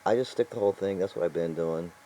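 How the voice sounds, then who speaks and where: A man's voice: low-pitched, quick pace, warm tone, slight background noise, rough recording. An older man talking casually in a vlog, rambling and exasperated, with chuckles and restarts along the way. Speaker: vlogger.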